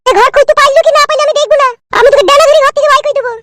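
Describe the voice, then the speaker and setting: Shrill, spiteful village wife. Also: female voice, steady tone, very fast, pushed and bright. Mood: threatening. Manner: threatening, scolding, foul-mouthed. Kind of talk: comic cartoon dialogue.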